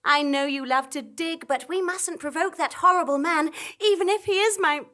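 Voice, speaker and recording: A woman playing a gentle, anxious young farm woman with fretful melodrama in a live comedy radio play. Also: extremely high pitch, moderate pace. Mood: fondly scolding. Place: theatre stage, live performance.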